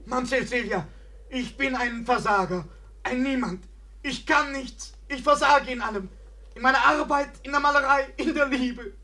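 An actor in a 1950s German radio play, playing a theatrical, despairing young Parisian painter with a melodramatic, declamatory delivery. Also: male voice, very high-pitched, moderate pace, pushed and bright, exaggerated voice. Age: young adult.

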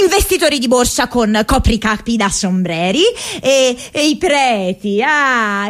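An actress playing a restless, playful, intense college-age young woman in a radio theatre monologue, confessional and halting with dramatic pauses and bursts. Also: high-pitched.

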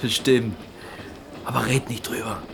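A man's voice: mid-range voice, fairly even intonation, measured pace, creaky, background noise. An actor in a German radio play, playing an earnest, insistent young Mexican potter searching for his cousin. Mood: guarded.

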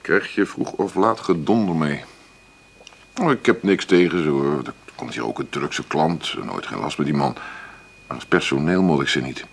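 A middle-aged café boss in a radio play. His voice is very low and gravelly. He talks bluntly and dismissively, rambling on with condescension toward whoever wants a job. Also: normal speed, full character voice. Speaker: employer interviewing an applicant. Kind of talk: naturalistic radio drama acting.

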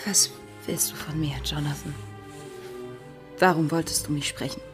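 A woman's voice: low-pitched, fairly even intonation, moderate pace, soft background music, lightly acted. A young woman acting in a German radio play as a proud, stubborn pirate with a defiant, dramatic delivery. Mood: bitter, wary. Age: young adult.

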